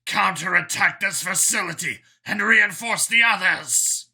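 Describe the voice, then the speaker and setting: A man's voice: high-pitched, unhurried, gravelly, pushed and bright, husky, pitched above the performer's own voice, exaggerated voice. A man voicing an angry, imperious dragon commander in an audiobook, barking orders with contempt. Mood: angry, commanding. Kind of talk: audiobook character voice.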